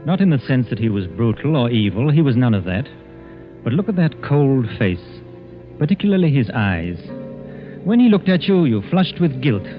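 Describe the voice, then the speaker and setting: An actor playing a reflective gentleman telling of his friend in intimate, dramatic 1940s radio style. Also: mid-range voice, big pitch swings, moderate pace, faint music behind, lightly acted. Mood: awed, uneasy. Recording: dull 1940s radio recording.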